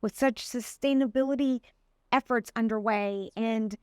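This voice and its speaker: A woman's voice: on the high side, fairly even intonation, a little mellow, clean tone, no room sound, clean studio sound. A middle-aged woman from Colombia, a guest in an interview, speaking with passion and drawing out key words for emphasis. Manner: passionate, emphatic, drawn-out stresses. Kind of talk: conversational interview.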